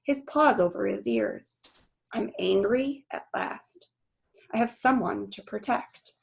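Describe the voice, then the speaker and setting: Woman reading as an earnest gorilla, husky and unhurried. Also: medium pitch, heavily acted, poor audio. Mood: weary anger. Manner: dramatic, short emphatic phrases. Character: earnest, anguished male gorilla narrating his own story.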